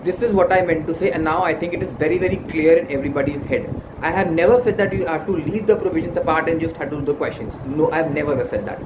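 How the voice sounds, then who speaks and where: A young man with an Indian English accent talks through exam strategy in an earnest, halting way, stumbling over fillers and restarting his sentences. He has a fairly high voice, and his first language is Hindi. The recording carries a roomy echo and clear background noise. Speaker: exam-prep YouTuber. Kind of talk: casual study-advice talk to camera. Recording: echoey room with background noise.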